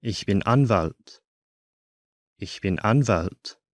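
A man teaching a language course, saying each short phrase twice in slow, careful drills. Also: on the low side, lively intonation, no room sound, clean studio sound.

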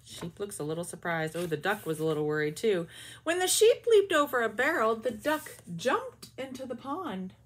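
A woman in her forties, a teacher, reading picture books aloud to children in a lively, drawn-out storybook way with soft asides, recorded on a webcam in a small bedroom. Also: on the high side, slightly rough. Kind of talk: children's read-aloud with comments.

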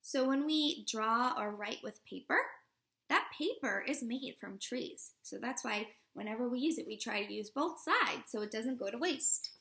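A woman in her thirties, a teacher reading a picture book aloud to young children in an expressive, instructive way. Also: on the high side, normal speed, creaky. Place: living room at home, indoors.

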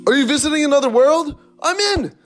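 A man plays a needy, sulky young friend in a kids' gaming roleplay. The voice is extremely high, dramatic and complaining, with music underneath. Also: expressive, fairly quick, a bit bright.

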